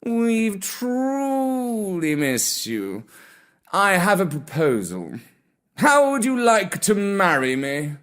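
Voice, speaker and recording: A man voicing a gracious, generous aging male cat with a purring, measured manner for a storybook. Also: high-pitched, wildly swooping pitch, fairly bright tone, pitched above the performer's own voice, full character voice. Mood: courtly, affectionate.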